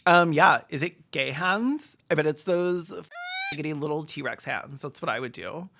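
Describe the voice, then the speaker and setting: Commentator for a film takedown video: mid-pitched voice, sarcastic, campy, quick with joking asides. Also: lively intonation, vocal fry, fairly bright tone, slight background noise.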